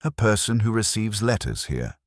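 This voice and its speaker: A man's voice: lowish voice, sing-song, moderate pace, no echo, studio quality, voice pushed up. A man voicing a prim, guarded small-town woman in an audiobook mystery, with clipped, proper and tartly reserved speech. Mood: curt, reserved.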